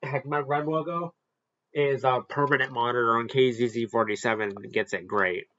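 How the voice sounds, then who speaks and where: A man's voice: medium pitch, steady tone, normal speed, bright, forceful tone, no room sound, muffled recording. A man giving casual, off-the-cuff commentary as he hosts a product ranking. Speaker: host ranking weather radios.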